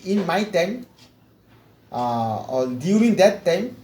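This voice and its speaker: Man, high-pitched voice, hesitant testimonial, faint background hum. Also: big pitch swings, warm tone. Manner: hesitant, with repeated words and long pauses. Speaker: client giving a testimonial. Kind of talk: personal story, slow and halting. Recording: echoey room with faint background noise.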